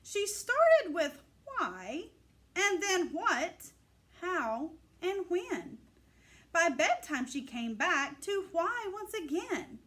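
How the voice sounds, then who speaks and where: A woman reads a rhyming story for children in a high voice that swings widely in pitch. She takes her time, phrasing each line with clear emphasis and expression. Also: big pitch swings, drawn-out words, a bit bright. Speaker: storybook reader. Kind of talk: animated read-aloud of a rhyming children's picture book.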